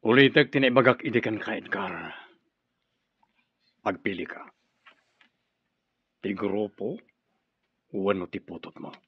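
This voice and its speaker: A man's voice: lowish voice, lively intonation, unhurried, long pauses, breathy edge, muffled recording, full character voice. A man playing a stern, ruthless group leader who speaks with severe command in an Ilocano radio drama.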